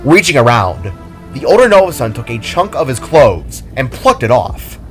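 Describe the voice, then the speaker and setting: A man narrating an action story as a dramatic reading, with expressive delivery. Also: medium pitch, normal speed. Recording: music under the reading.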